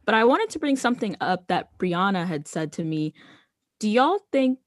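A young woman co-hosting a podcast, chatting in a relaxed, conversational way about a TV episode. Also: mid-range voice, moderate pace, no room sound, studio quality. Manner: relaxed, conversational, many fillers. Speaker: podcast co-host. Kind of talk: casual chat recapping a TV episode.

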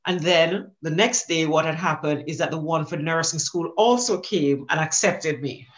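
A middle-aged Jamaican woman telling the story of her life as a guest on a podcast. She speaks with an easy, animated rhythm and laughs often as she remembers. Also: deep voice, moderate pace. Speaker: guest, teacher. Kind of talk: conversational storytelling.

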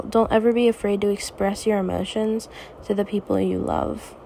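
A teenage girl hosting her own podcast, talking softly and reassuringly in a raspy, tired voice late at night. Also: medium pitch, slight background noise. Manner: soft, reassuring, hesitant. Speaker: podcast host. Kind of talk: personal podcast monologue.

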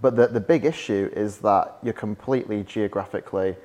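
A young man from West Yorkshire with a softened Yorkshire accent, chatting as a podcast guest and telling stories with plenty of "like" fillers. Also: on the low side, fairly quick. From West Yorkshire, England. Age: young adult. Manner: chatty, storytelling, frequent "like" fillers. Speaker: podcast guest, startup founder. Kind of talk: casual interview chat.

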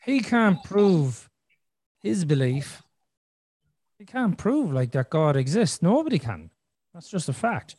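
A man's voice: a little high, big pitch swings, slow pace, long pauses, darkish tone, no room sound, clean studio sound. Earnest man talking on a podcast. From Ireland. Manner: earnest, thinking aloud with restarts and "you know". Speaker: podcast host. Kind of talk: casual conversational podcast chat.

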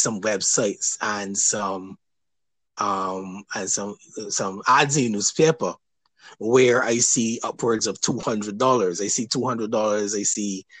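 A man hosting a casual interview, talking hesitantly with many restarts and pauses. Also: on the low side, fairly bright tone, dry recording, rough recording. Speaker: podcast host.

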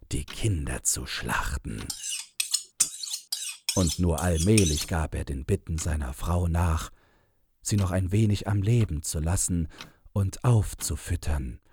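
Very deep, slow male storyteller. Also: mellow, a little hiss or hum. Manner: measured storytelling. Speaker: narrator. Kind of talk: scripted fairy-tale narration.

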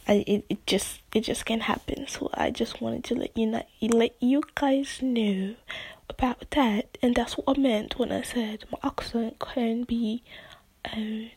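Non-native English-speaking woman host, slow, breathy and half-whispered, dry close sound, hesitant rambling chat. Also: fairly high pitch, steady tone, dry recording. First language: not English. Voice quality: soft, often near-whispered delivery. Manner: rambling, hesitant, many fillers and restarts. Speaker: podcast host. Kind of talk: casual solo podcast monologue.

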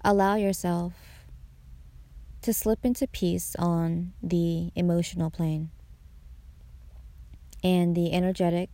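A woman guiding a meditation in a soothing way, pausing between short phrases. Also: on the low side, steady tone, warm tone, no echo. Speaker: meditation guide, podcast host. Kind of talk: guided meditation narration.

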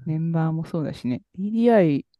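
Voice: medium pitch, expressive, very fast, no echo, muffled recording. A young adult podcast co-host in casual chat about music, chatty and hesitant, trailing off with fillers.

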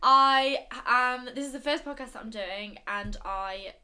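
A woman's voice: a little high, measured pace, pushed and bright. A twenty-year-old woman hosting a podcast in a casual, chatty style. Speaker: podcast host. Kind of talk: casual chat.

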